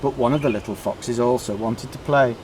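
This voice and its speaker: A man reading a children's story aloud in short, deliberately emphasised phrases. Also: medium pitch, a little mellow, a little hiss or hum, rough recording. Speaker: storybook reader.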